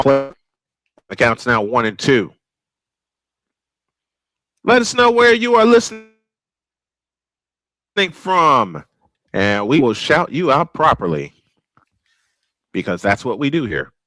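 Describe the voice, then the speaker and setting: A man's voice: medium pitch, a bit bright, no echo. A man calling live baseball play-by-play in a folksy, old-school broadcaster cadence. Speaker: play-by-play announcer.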